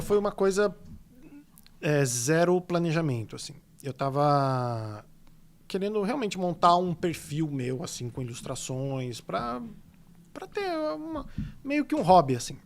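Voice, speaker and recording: A fairly high-voiced man from São Paulo chats as a podcast guest. He rambles through his stories with plenty of fillers and gets animated as he goes. He has a Paulista accent. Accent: São Paulo Portuguese. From São Paulo state, Brazil. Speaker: illustrator guest. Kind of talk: casual podcast interview.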